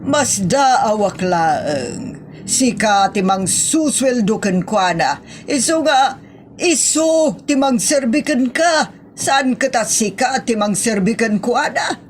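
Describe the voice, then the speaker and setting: A woman's voice: a little high, animated, slow, a little hiss or hum. A woman playing an exasperated, complaining woman in an Ilocano comedy drama, with an Ilocano accent and an emotive, scolding delivery. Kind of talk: Ilocano comedy radio-style drama acting.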